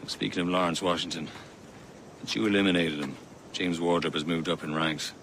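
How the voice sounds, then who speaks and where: An actor playing a gruff, matter-of-fact male assassin comrade in a video game. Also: deep voice, little pitch movement, fairly quick, a bit bright, slight background noise.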